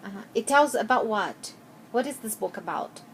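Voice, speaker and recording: A woman interviewing a child, asking gentle, patient questions with frequent hesitations. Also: medium pitch, animated, normal speed, slight background noise, rough recording.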